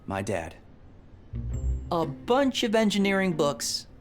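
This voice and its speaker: A man's voice: sits high, wildly swooping pitch, slow pace, faint music behind, full character voice. An actor playing an eager, proud young male engineer and rocket inventor in a video game, with a wry, casual manner. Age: young adult. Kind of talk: video game character dialogue.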